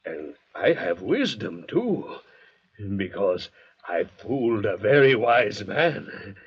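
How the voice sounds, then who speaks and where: An actor playing a menacing, sarcastic escaped convict crazed by thirst, with a slow, sneering, mock-polite manner, in old-time radio drama style. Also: male voice, fairly low pitch, big pitch swings, husky, heavily acted. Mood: mock-polite menace. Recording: muffled vintage radio recording.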